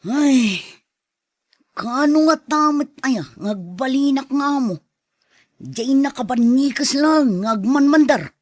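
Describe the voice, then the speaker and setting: A woman's voice: high voice, lively intonation, slow, no room sound, clean studio sound, full character voice. A woman playing a lazy, scoffing farm labourer in an audio drama, with a drawling, complaining delivery. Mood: scornful.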